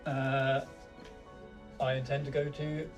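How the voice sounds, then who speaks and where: Mid-pitched man playing a tabletop game. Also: completely flat delivery, slow, muffled recording, music in the background. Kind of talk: casual in-game chat.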